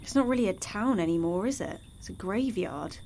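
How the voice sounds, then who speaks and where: A woman's voice: mid-range voice, lively intonation, brisk, gravelly, a little mellow. A woman playing a sassy but compassionate member of an investigating team in an audio drama, earnest and assertive. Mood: uneasy.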